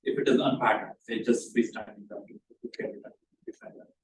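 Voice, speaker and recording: A man's voice: medium pitch, steady tone, fast. A man in a seminar audience offering technical comments in discussion. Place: seminar room. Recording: echoey noisy room, far from mic.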